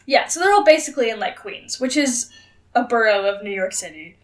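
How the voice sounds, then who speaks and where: Female co-host, fairly high voice, blunt and sarcastic, loose sweary banter. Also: expressive, fairly quick. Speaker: podcast co-host. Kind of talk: casual comedic banter.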